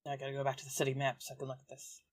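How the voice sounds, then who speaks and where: A woman's voice: extremely low pitch, monotone, very fast, no echo. A woman chatting casually as a player in a tabletop roleplaying game. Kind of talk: casual chat.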